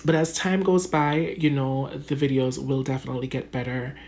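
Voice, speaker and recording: Low-voiced Jamaican woman narrating makeup. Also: fairly even intonation, normal speed, darkish tone. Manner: chatty, addresses viewers as guys, laughs often. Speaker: beauty vlogger. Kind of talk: casual makeup tutorial narration. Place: home room under bright lights.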